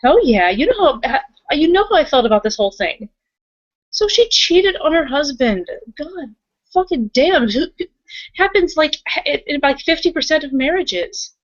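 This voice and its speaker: A woman's voice: high-pitched, sing-song, moderate pace. A woman roleplaying and narrating in a tabletop session, speaking casually and bluntly. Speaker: game master in a roleplaying session. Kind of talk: improvised in-character roleplay with narration. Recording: dull lo-fi recording.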